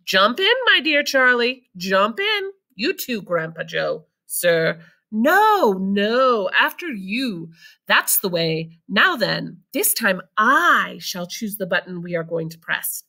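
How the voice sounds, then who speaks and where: A giddy, theatrical candy maker bursting with cheer, as a woman reading a storybook puts him on. The voice is bright and climbs high and low with every exclamation. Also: vocal fry, full character voice. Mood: delighted. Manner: bubbly, theatrical, fast excited exclamations.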